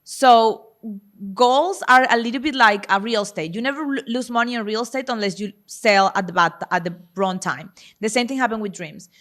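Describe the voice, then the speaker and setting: A woman's voice: a little high, moderate pace, a bit bright. A woman in her thirties hosting a podcast, speaking in an encouraging coaching way in casual chat. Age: 30s. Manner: encouraging, coaching tone, plain-spoken. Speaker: podcast host and self-worth coach.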